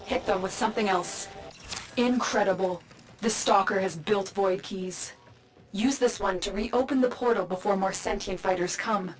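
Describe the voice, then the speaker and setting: An actress voicing a composed, protective mission guide who gives firm instructions. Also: female voice, medium pitch, normal speed, close to an everyday voice, rough recording. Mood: urgent. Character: calm, commanding female mission guide, protective.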